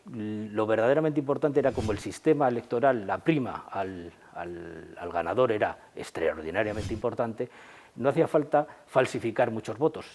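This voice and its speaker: A man with an accent from Spain, speaking in a halting, emphatic academic manner in an unscripted history discussion. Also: lowish voice, darkish tone. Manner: halting, emphatic, academic phrasing with repeated words. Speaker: presenter and discussant.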